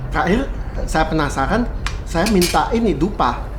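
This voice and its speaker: A man's voice: on the high side, animated, normal speed, noisy background. A man casually recounting a ghost encounter, acting out the quoted dialogue in a raised voice. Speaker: guest telling a ghost story. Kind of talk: casual storytelling chat.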